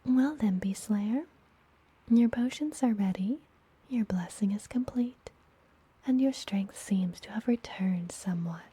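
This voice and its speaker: A woman playing a friendly, mysterious, teasing witch healer in an ASMR fantasy roleplay, speaking gently and soothingly in a soft, breathy whisper. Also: medium pitch. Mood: fond, wistful. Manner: slow, gentle, soothing, deliberate.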